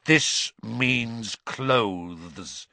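A man's voice: fairly low pitch, expressive, drawn-out words, vocal fry, pushed and bright, no echo, heavily acted. A man voicing a stern, imperious senior government official with a curt, formal, barking manner in a storybook reading. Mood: cold, merciless.

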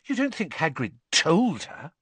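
A man voicing a clever, earnest teenage girl in an audiobook, talking in a quick, earnest way. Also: on the high side, sing-song, a bit bright, husky, dry recording, pitched above the performer's own voice, full character voice.

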